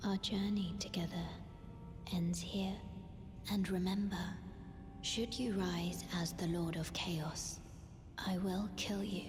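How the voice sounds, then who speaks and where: A cold, resolute young woman from a dark fantasy game, speaks in a soft, lowish voice. Her delivery is grave and very slow, carried in long reverb. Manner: measured, grave, restrained. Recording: long reverb with noisy ambience.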